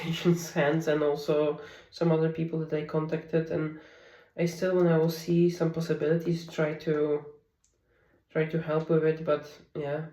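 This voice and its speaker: A woman in her thirties whose first language is Polish, speaking in a soft, candid, confiding way in a personal diary vlog at home. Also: deep voice, reverberant, recorded from a distance. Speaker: vlogger. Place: home, at night.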